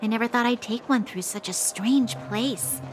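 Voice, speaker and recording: An actress playing an earnest, dutiful young female officer in a video game, speaking in a measured, formal, reflective way. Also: a little high, slight background noise, lightly acted, low-quality recording. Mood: wistful. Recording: faint music underneath.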